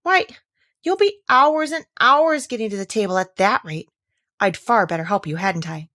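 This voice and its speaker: A woman reading a storybook as a bright, chattering little girl who is curious and playfully chiding. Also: medium pitch, expressive, moderate pace, dry recording, clean studio sound. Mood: eager, helpful.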